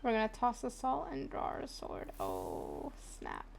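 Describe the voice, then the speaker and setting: A fairly high-voiced woman chats casually as she streams a game. She is playful and informal, speaking slowly with faint noise around her. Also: huge pitch swings, gravelly. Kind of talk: casual gameplay reactions.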